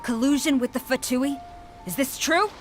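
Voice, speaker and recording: A woman's voice: sits high, normal speed, music in the background, lightly acted. An actress voicing a strict, duty-bound female military commander in a game cutscene, speaking formally and firmly.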